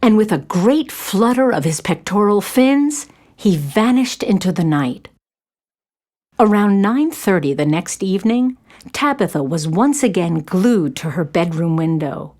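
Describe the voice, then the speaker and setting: A woman narrator reading a scripted children's story in an expressive storytelling manner. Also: medium pitch, measured pace.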